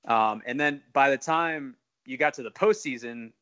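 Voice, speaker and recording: Bright-voiced man chatting sports. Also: medium pitch, moderate pace. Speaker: podcast co-host asking questions. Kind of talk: casual sports discussion.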